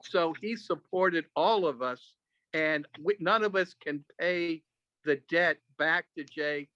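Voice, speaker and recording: Bright, higher-voiced man on video call. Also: slow, clear, resonant tone, dry recording. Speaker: speaker sharing memories at an online club meeting. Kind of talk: storytelling reminiscence in a group video call. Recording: dull, dry video call audio.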